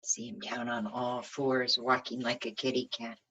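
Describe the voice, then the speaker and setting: A woman reading a storybook aloud to young children as their teacher, expressive and simply phrased, pausing to ask them questions. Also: very deep voice, breathy edge, lo-fi sound.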